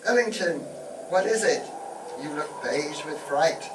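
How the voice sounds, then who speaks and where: Man acting a high-pitched blustering army major, distant. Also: lively intonation, a little slow. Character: pompous blustering British army major, an explorer. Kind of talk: comic radio play acting before an audience. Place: live stage show in a room, picked up from afar. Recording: roomy, distant live stage recording with noise.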